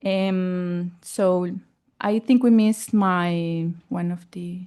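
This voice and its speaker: A woman whose first language is Spanish, giving a startup pitch at an event, pausing often and searching for words. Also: fairly even intonation, dark tone, clear, resonant tone. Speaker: startup presenter. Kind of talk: pitch presentation. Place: event hall.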